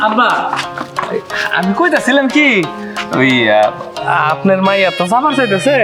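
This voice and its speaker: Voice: medium pitch, big pitch swings, moderate pace, over music, natural voice. An actor with a Bangladeshi accent playing a stingy, pleading son-in-law in a rural comedy drama.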